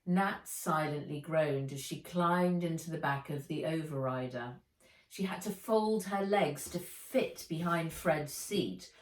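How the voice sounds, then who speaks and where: A woman author reading a children's novel aloud in an audiobook narration style. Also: fairly low pitch. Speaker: author reading their own book. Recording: roomy echo, slightly distant mic.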